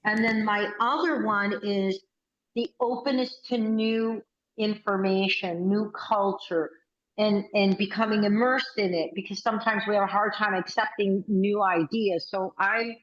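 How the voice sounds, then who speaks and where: A woman, chatting on a video call as a workshop participant and telling stories with quick, animated bursts. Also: medium pitch, a little hiss or hum. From United States. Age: 60s. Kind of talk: casual video call chat. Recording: roomy, slightly muffled call audio.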